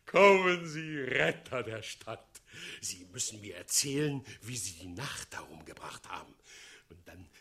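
A man's voice: medium pitch, animated, unhurried, creaky, a bit bright, breathy edge, full character voice. A man acting a fawning, scheming and unhinged mayor in a radio play, with an erratic, theatrical manner. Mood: fawning, manic.

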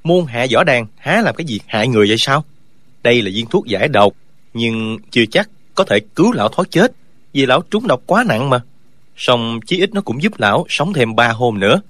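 In this audiobook, a man voices a young swordsman who speaks with earnest conviction. His delivery is lively, with a wide pitch range. The recording is dry and close. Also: dry recording. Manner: earnest, lecturing, sometimes mocking. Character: young swordsman, upright and calm. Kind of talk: audiobook character dialogue.

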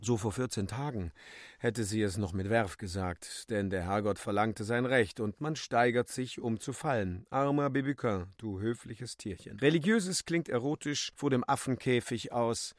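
A man's voice: medium pitch, normal speed, no echo. Declamatory man reading literature, dry close sound. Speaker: reader of a literary radio drama. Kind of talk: theatrical literary reading with dramatic delivery.

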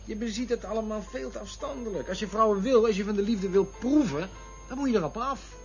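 A man's voice: high-pitched, fairly quick, darkish tone. Crude joking man, restless, rambling, bitter asides, radio drama, lo-fi sound, faint music. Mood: agitated, provocative. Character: restless, crude, joking man brooding over love and women. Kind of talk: radio play acting. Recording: dull lo-fi radio recording with music.

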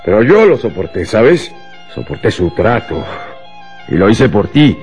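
An actor playing an agitated, self-justifying husband in a radio drama, insistent and theatrical, coaxing and bitter by turns. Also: male voice, on the low side, very animated, measured pace, a little mellow, soft background music.

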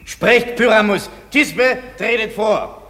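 A man playing a fussy, excitable craftsman who directs an amateur play in a comic German radio drama. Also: high-pitched, slow pace, music in the background. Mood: exasperated, instructing. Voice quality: husky.

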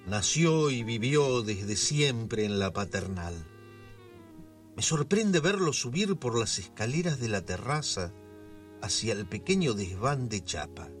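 Buenos aires radio play narrator, takes his time, really melodic delivery, faint music under. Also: medium pitch. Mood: storytelling. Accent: Argentine, Buenos Aires. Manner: unhurried, expressive storytelling. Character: storyteller narrator who knows the neighborhood intimately. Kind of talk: scripted radio drama narration.

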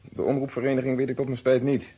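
An actor playing a methodical police inspector in a vintage radio play, with formal, precise phrasing and a Netherlands Dutch accent. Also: male voice, medium pitch, level tone, fast talker, slightly rough. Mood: polite, official. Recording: dull, muffled old radio recording.

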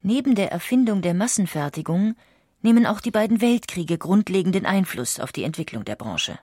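A woman reading scripted documentary narration with measured, precise enunciation. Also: mid-range voice, big pitch swings, darkish tone, husky, dry recording, clean studio sound.

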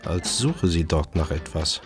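Wry chef telling his own crime story, radio drama, lowish voice, music under him. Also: lively intonation, measured pace. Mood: wry, reflective. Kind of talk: German radio drama, first-person narration and dialogue.

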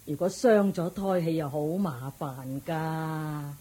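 A woman's voice: low voice, takes it slowly, dark tone, breathy edge, exaggerated voice. A woman playing a forbearing, aggrieved elderly mother-in-law with a sighing manner in a radio drama. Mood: resigned. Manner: sighing, long-suffering. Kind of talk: Cantonese radio drama acting.

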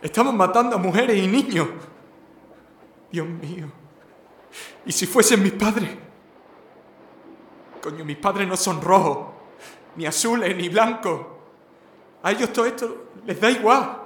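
In an echoey space, a troubled sailor acted by a man speaks slowly in a high voice. He has a Spain accent and an earnest, conscience-stricken manner. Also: lively intonation, fairly bright tone. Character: conscience-stricken young sailor from a farming family. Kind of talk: audio drama acting. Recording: echoey room, faint background noise.

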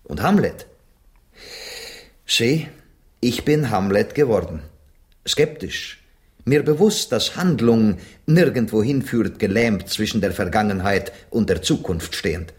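An actor playing a passionate, philosophical Spanish gentleman of centuries past in a German radio drama, declaiming with Spanish exclamations thrown in. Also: lowish voice, lively intonation, measured pace. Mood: musing, fervent.

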